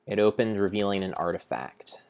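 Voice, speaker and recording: Very low-voiced man narrating like a storybook. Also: lively intonation, darkish tone. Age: young adult. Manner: mock-grand, deliberate, halting delivery. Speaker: game streamer. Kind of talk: improvised dramatic storybook narration of gameplay. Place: indoor room at home.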